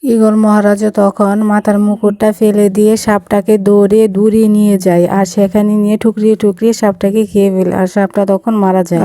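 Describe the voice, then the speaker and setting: Even, mellow woman storyteller. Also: mid-range voice, no echo. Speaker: storyteller, narrator. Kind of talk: scripted children's fairytale narration.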